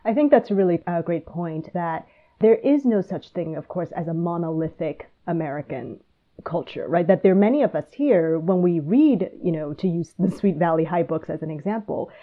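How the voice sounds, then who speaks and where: A woman from Taiwan hosting a podcast, talking in a thoughtful, measured way. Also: lowish voice, animated. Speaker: podcast host. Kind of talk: casual conversation. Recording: tinny phone-like line.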